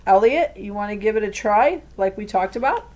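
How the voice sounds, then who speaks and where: A woman's voice: medium pitch, expressive, fairly quick, warm tone, muffled recording, voice pushed up, lightly acted. A woman voicing a caring, in-charge aunt in a storybook, direct and plain-spoken. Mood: brisk.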